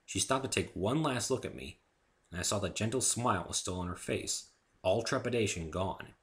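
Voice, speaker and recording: A man narrating a first-person story as an expressive dramatic reading. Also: fairly low pitch, moderate pace. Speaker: amateur narrator and author.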